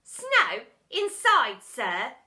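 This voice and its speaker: Woman as cheeky schoolboy, high-pitched, eager, exclaiming, unbothered, bright, storybook character. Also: huge pitch swings, extremely slow, exaggerated voice. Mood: curious delight.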